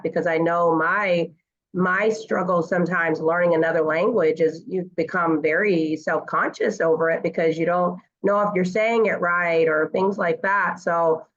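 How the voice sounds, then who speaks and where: A woman's voice: fairly low pitch, muffled recording. A woman speaking as a guest parent coach in a conversational interview, earnest and encouraging, with fillers.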